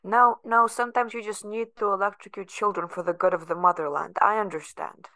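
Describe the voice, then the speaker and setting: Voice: medium pitch, fairly even intonation, brisk, bright, forceful tone, no room sound. An actor playing a sardonic, guarded young adult patient held in a facility, narrating in the first person with bitter sarcasm. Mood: sarcastic.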